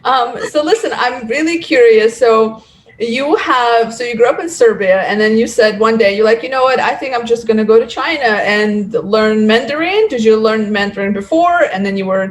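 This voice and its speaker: A woman's voice: a little high, normal speed. A woman hosting a podcast, chatty and enthusiastic, leaning on fillers like "kind of" and "like". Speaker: podcast host. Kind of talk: casual interview conversation.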